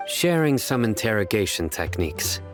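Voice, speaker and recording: A man playing a terse, serious interrogator in a game, speaking in a deadpan manner. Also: lowish voice, normal speed. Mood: matter-of-fact. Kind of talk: game cutscene acting. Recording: music playing behind the voice.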